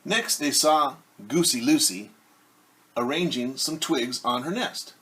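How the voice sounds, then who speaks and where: A man reading a children's story aloud as a teacher, expressive, with casual asides that explain things simply. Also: medium pitch, unhurried, slightly rough, low-quality recording.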